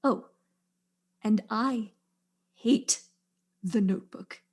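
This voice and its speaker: A woman's voice: fairly high pitch, drawn-out words, darkish tone, heavily acted. A woman playing an indignant, haughty widow in a stage-style mystery, with a dramatic, scornful, emphatic delivery. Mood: scornful ranting.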